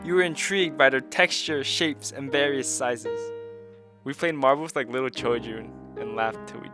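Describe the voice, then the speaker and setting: A man's voice: mid-range voice, lightly acted. An actor playing a tender, nostalgic husband speaking poetically to his wife in an audio drama. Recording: guitar music under the voice. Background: plucked guitar music.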